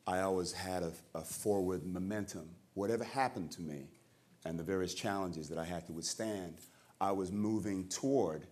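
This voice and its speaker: A man giving reflective personal testimony at a roundtable, halting and deliberate, restating his phrases. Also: deep voice, darkish tone. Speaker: roundtable participant. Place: indoor roundtable discussion at a museum.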